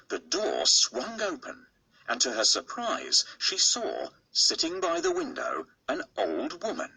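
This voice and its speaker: Husky, gravelly storybook narrator man. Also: medium pitch, bright, forceful tone, no echo, rough recording. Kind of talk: bedtime storybook read-aloud.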